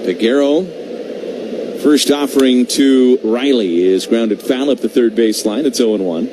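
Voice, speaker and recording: Man calling baseball over clear background noise. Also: medium pitch, measured pace. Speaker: baseball play-by-play radio announcer. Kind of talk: live sports commentary with conversational asides. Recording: clearly noisy live radio broadcast.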